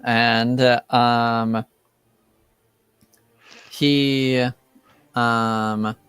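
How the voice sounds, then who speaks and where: A man improvising narration as a tabletop game master, halting as he searches for words. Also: mid-range voice, level tone, fairly bright tone, smooth, dry recording, soft background music.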